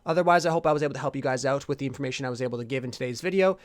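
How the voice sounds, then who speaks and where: A man's voice: mid-range voice, rapid-fire, dry recording. A man hosting a computer tutorial, explaining things to viewers in an informal, reassuring way. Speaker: tutorial host. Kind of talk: casual PC tutorial narration.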